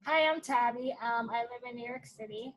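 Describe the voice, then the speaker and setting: A woman's voice: a little high, normal speed, dull audio. A woman in her thirties from Texas, introducing herself in a friendly, matter-of-fact way. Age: 30s. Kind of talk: self-introduction.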